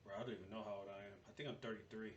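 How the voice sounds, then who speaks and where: A man's voice: lowish voice, fairly even intonation, rapid-fire, slightly rough, highs cut off. A man in his thirties chatting informally as a sports podcast host, with slangy, bantering delivery. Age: 30s. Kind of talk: casual sports podcast chat.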